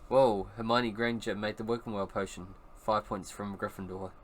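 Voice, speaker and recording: A man's voice: fairly low pitch, normal speed, slight background noise. Laid-back New Zealand man streaming games. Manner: relaxed chatty asides, chuckles often. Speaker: gaming streamer. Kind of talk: casual live gameplay commentary. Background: video game music.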